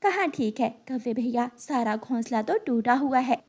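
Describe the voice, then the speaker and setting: Woman voicing an earnest, husky, higher-pitched cartoon bird. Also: expressive, normal speed, a little mellow. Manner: earnest, admonishing. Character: diligent, scolding little female bird. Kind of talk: Hindi children's cartoon voice acting.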